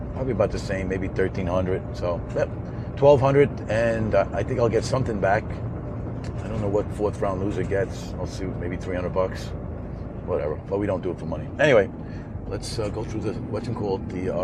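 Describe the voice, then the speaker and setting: A hoarse man with a somewhat low voice rambles to his viewers from behind the wheel, muttering at slow drivers between thoughts. Heavy road noise rumbles under everything he says. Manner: rambling, talks to viewers while driving, mutters at traffic. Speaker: vlogger driving home from a race. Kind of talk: casual driving vlog chat. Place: inside a moving vehicle on the road. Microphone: camera mounted in the vehicle.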